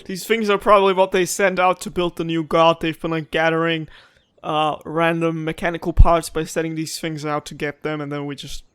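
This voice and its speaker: A man's voice: on the high side, little pitch movement, normal speed, hardly any pauses, a bit bright, smooth. A man giving casual let's-play commentary, musing aloud and rambling with playful complaints. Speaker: gaming streamer. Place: own room at home. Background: game music.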